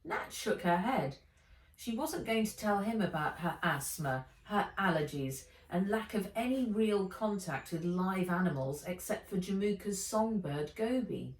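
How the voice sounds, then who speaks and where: A woman author reading a children's storybook aloud in a measured, expressive way. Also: medium pitch. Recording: echoey room, distant from mic.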